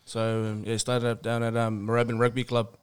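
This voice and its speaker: A man's voice: mid-range voice, completely flat delivery, fairly quick, clear, resonant tone. A man from Melbourne with an Australian accent, chatting casually as an interview guest in a laid-back, hesitant, colloquial way. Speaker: podcast guest, rugby player.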